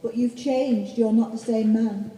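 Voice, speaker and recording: A woman's voice: a little high, fairly even intonation, measured pace, warm tone, close to an everyday voice. A woman playing a heartbroken young sweetheart in an amateur radio play, earnest and reproachful. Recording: distant mic in echoey hall.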